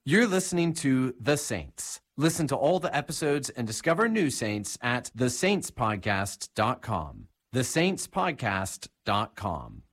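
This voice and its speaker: A man reads a podcast promo in a plain announcer delivery. His voice is husky and his pitch moves lively. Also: a little slow, hardly any pauses.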